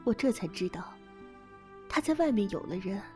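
A woman's voice: medium pitch, animated, normal speed, warm tone, soft background music, lightly acted. A woman playing a chatty, loyal married lady friend in a Chinese period audio drama. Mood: confiding.

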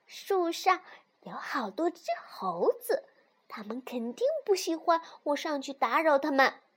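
A woman's voice: big pitch swings, unhurried. A woman voicing a bold, boastful little fox child in a storybook, with an eager, emphatic childlike delivery. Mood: boastful, animated. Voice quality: high, childlike.

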